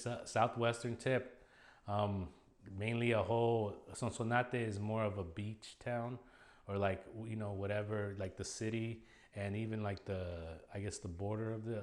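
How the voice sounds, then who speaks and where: Laid-back man in his thirties. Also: fairly low pitch. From El Salvador. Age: 30s. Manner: relaxed, chatty, with like and you know. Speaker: interviewee. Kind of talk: casual interview answers.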